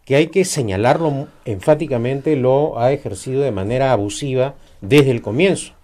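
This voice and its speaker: A man's voice: mid-range voice, normal speed, mellow. A middle-aged Peruvian man being interviewed about politics, arguing emphatically with frequent hesitations. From Peru. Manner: emphatic, argumentative, many hesitations. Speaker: political guest.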